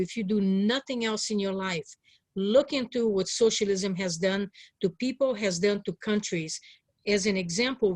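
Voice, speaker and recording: A woman's voice: medium pitch, no echo. Earnest woman in her sixties, closely recorded. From born in Hungary. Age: 60s. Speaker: political candidate, interview guest. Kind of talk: personal testimony in an interview.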